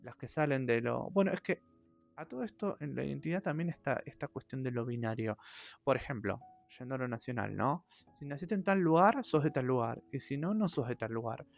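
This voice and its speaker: A man with an Argentine accent, hosting his channel in a thoughtful, hesitant personal monologue, pausing often on long fillers. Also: mid-range voice, animated, creaky. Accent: Argentine Spanish. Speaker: channel host. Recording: dull lo-fi audio with faint music.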